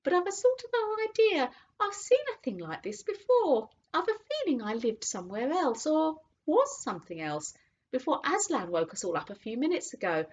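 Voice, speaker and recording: A woman voicing a slow, muddled old horse. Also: sits high, sing-song, pitched above the performer's own voice. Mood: musing. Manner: slow and thoughtful. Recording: dull lo-fi audiobook recording.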